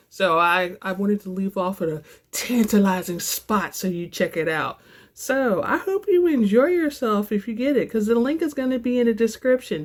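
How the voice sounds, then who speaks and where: A woman reading romance fiction aloud as a host, with an expressive, theatrical delivery. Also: mid-range voice. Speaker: audiobook-style reader and channel host.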